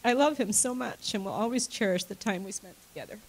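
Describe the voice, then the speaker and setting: A woman's voice: medium pitch, quick pace, slight background noise, natural voice, rough recording. A woman playing a devoted, widowed grandmother in a reader's theater monologue, speaking in an earnest, sentimental, reflective way. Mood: proud, loving.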